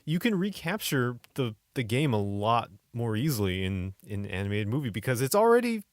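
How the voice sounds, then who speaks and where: A man's voice: medium pitch, very animated, moderate pace, no room sound, clean studio sound. A man co-hosting a podcast in casual, teasing chat with his partner. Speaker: podcast co-host.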